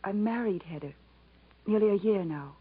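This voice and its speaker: An actress playing a genteel, remorseful old sweetheart in a 1950s radio drama, hesitant and emotional, with a breathy, husky voice. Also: female voice, medium pitch, normal speed, close to an everyday voice. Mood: regretful. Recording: tinny 1950s radio broadcast recording.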